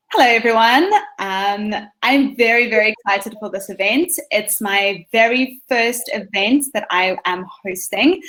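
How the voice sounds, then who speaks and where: Brief: South African woman, teacher presenting over a video call, bright higher voice, eager, with frequent ums. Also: rough recording. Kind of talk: conference presentation.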